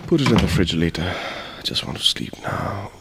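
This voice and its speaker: An actor with an Indian English accent playing a tired young software professional in an audio drama, mumbling sleepily to himself. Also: male voice, sits low, lively intonation, moderate pace, slight background noise. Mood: sleepy. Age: young adult.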